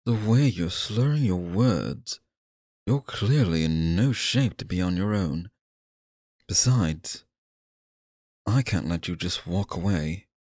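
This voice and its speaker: A man playing a sinister, possessive, courtly stage magician in an ASMR roleplay, coaxing the listener with theatrical, drawn-out phrasing. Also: sits low, lively intonation, a little mellow, dry recording, clean studio sound, exaggerated voice. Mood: menacing, possessive.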